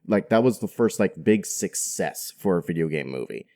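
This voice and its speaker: A man's voice: low-pitched, expressive, normal speed, a little mellow. A man chatting as a podcast guest in an informal, slangy, conversational way. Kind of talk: casual chat.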